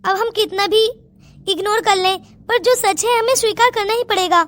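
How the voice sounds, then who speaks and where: High-pitched worried elderly grandparent, acted by a woman. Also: moderate pace, fairly bright tone. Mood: concerned, persuading. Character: worried, doting elderly grandparent seeking a match. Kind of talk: melodramatic Hindi cartoon story dialogue. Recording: music under the voice.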